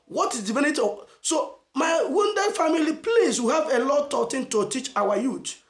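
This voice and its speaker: A Nigerian man giving an emphatic motivational message as a channel host, asking rhetorical questions and stressing phrases slowly. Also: very high-pitched, lively intonation, roomy sound. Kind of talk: motivational preaching to camera.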